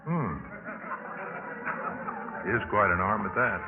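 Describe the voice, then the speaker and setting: Casting: wry older college suitor, dry and needling, fairly low voice, vintage radio drama through tinny old broadcast sound. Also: male voice, lively intonation, slow, gravelly, loud music behind the voice, full character voice. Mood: dry, amused. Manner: dry, teasing. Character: wry 28-year-old Army veteran college man, teasing skeptic. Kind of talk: 1950s radio drama acting. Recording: muffled 1950s radio broadcast.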